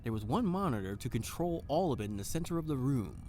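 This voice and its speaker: Casting: male actor as theatrical noir detective for audio drama, very animated delivery, flirtatious streak, some background noise. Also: mid-range voice, fast talker, natural voice. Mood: narrating. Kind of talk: audio drama acting and narration.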